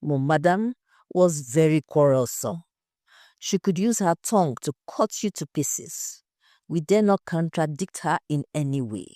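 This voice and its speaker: A woman's voice: deep voice, animated, measured pace, dark tone, no echo, studio quality. A woman playing a brash, gossipy young shop assistant in an audio story. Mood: blunt.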